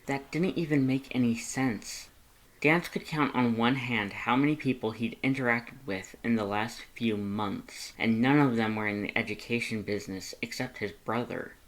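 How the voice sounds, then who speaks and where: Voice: medium pitch, normal speed, low-quality recording. A narrator reading a fan fiction story aloud from a script.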